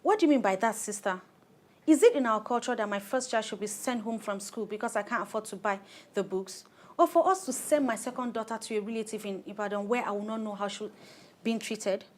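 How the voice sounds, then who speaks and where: Woman acting nigerian mom around 30 in a radio play, harried, earnest, won't back down, medium pitch. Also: a little fast, slight background noise, natural voice. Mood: indignant. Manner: earnest, plain-spoken, insistent. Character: harried, determined thirty-year-old mother of five daughters, firm and frank. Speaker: clinic client in an educational radio play.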